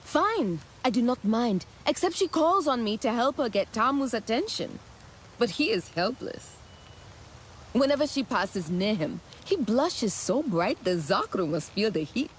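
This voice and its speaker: A woman's voice: a little high, sing-song, unhurried, a little hiss or hum. A woman playing an exasperated young alien clanswoman in a video game, dramatic, emphatic and given to complaint. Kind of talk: video game dialogue acting.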